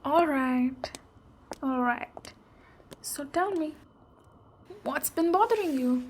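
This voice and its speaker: A woman playing a boisterous, protective female ogre warrior in an ASMR roleplay, speaking deliberately with pauses between phrases. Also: high voice, expressive, noisy background. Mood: friendly. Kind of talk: ASMR roleplay, storytelling and direct address.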